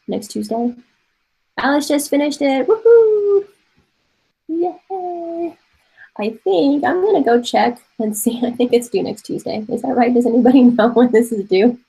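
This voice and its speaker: Woman in her 40s from Taiwan, native Mandarin speaker, slightly husky voice, chatty encouraging art teacher on a webcam call from home. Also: a little high, moderate pace, warm tone, low-quality recording. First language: Mandarin Chinese. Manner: chatty and encouraging, laughs often, thinks aloud. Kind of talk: casual online class instruction. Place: home room with bookshelves. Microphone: webcam on a video call.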